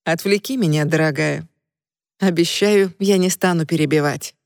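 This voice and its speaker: A woman voicing a tender young man who is devoted to his love and teases her gently. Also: lowish voice, moderate pace, dry recording, studio quality, lightly acted.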